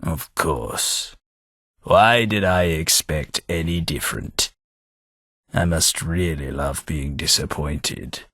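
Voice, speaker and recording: An actor playing a polite, wry British ghoul servant, centuries old, in a video game, with a husky voice. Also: male voice, extremely low pitch, slow pace, fairly bright tone, no echo, studio quality, exaggerated voice. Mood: sardonic. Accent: British English.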